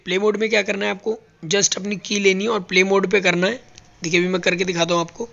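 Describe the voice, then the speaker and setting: A man's voice: sits high, level tone, fast, creaky, a bit bright, lo-fi sound. A man teaching robot welding step by step, explaining each button as he presses it on a factory floor. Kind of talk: hands-on tutorial.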